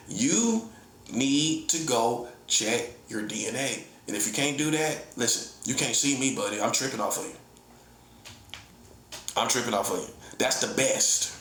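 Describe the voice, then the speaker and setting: A man's voice: medium pitch, fairly bright tone, reverberant. A man giving a casual comedic monologue as an online preacher, teasing his listeners, laughing often and acting out mock conversations. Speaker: self-styled prophet and online preacher.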